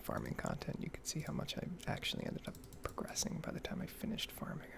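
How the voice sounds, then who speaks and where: A man giving a halting, rambling ASMR gaming update in a hushed, breathy, mostly whispered voice, slightly nasal from getting over an illness. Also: background noise. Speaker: gaming ASMR video creator.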